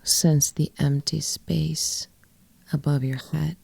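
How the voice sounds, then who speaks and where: A woman guiding a yoga nidra meditation in a soft, breathy, often near-whispered voice, with gentle phrases and long pauses. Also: low voice, flat delivery, dry recording. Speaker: yoga nidra teacher and guide. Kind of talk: guided meditation, soft and soothing.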